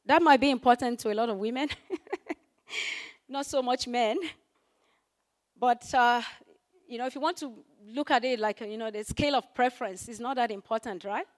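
Croaky-voiced woman preaching a sermon. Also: a little high, normal speed, long pauses. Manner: conversational, peppered with "you know" and fillers; rises in force for scripture and emphasis. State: croaky voice recovering from voice loss. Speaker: guest preacher.

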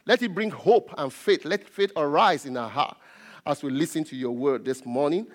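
A man with a Nigerian accent, a native Yoruba speaker, preaches a sermon as a pastor in a deliberate way, repeating key phrases. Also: on the high side. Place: large reverberant indoor church space. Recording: reverberant hall.

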